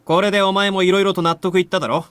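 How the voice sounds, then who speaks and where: A male actor voicing a cocky, nonchalant young man in a visual novel, with rough, offhand speech. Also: sits high, level tone, very fast, fairly bright tone, no room sound. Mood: nonchalant explaining. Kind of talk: Japanese visual novel voice acting.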